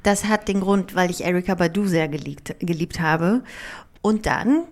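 A woman's voice: lowish voice, normal speed. A woman in her thirties, a writer and performer born in Germany and raised partly in Greece, whose first language is Greek, talks candidly and reflectively in an interview, with fillers and restarts. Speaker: author and performer, guest. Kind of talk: relaxed conversational interview.

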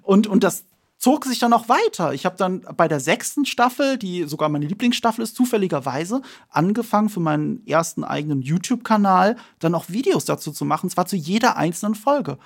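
A man appearing as an expert guest, telling stories eagerly and repeating words when excited. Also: high-pitched, a little fast, vocal fry. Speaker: podcast guest, series expert. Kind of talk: enthusiastic storytelling.

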